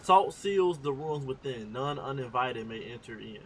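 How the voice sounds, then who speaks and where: A man's voice: medium pitch, expressive, moderate pace, background noise. A man giving casual live commentary on a game, informal, slangy and playful. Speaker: gaming streamer reacting to a story quest. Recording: game music behind the voice. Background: game music.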